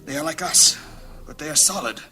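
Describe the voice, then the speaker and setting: An actor playing a solemn, commanding lord in a fantasy audio drama, formal and portentous in delivery. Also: male voice, a little high, lively intonation, brisk, pushed and bright, soft background music. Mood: solemn wonder. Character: solemn, commanding lord, observant ghostly time traveller.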